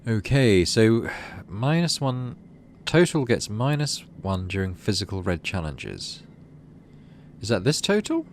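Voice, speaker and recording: A man's voice: lowish voice, very animated, a little slow, a little hiss or hum. A man doing let's play commentary on a game, reading narration aloud and thinking through choices in tentative asides. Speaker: gaming video host.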